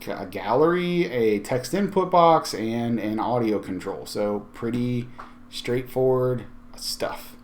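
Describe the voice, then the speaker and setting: Male tutorial host, mid-pitched, conversational and explanatory, walking through software on screen. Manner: conversational, explanatory, thinking aloud.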